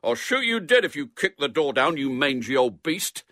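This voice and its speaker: A man's voice: mid-range voice, sing-song, normal speed, fairly bright tone, breathy edge, dry recording, studio quality, voice pushed up. A man voicing a bold, boastful young boy playing at knights in a storybook, with blustering, melodramatic talk. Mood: defiant bluster.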